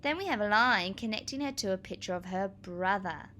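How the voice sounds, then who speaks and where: A woman teacher reading aloud to young children, explaining as she goes in a clear, expressive manner. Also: mid-range voice, a little fast, a little hiss or hum.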